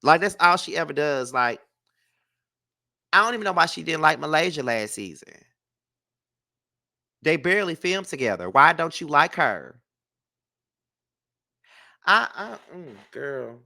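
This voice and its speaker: A man's voice: fairly high pitch, very animated, measured pace, gravelly, long pauses, sharp tone. A congested man giving casual, blunt and sassy gossip commentary as a vlog host, with emphatic, profane delivery. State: congested with a cold. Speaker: entertainment gossip vlogger and host. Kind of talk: casual opinionated reality TV commentary.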